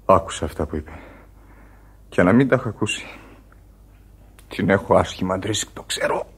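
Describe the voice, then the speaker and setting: An actor playing a dying sailor in a radio play, weak and pleading. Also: male voice, low voice, breathy edge. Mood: weary. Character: dying sailor, fearful then resigned. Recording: faint hiss of old radio recording.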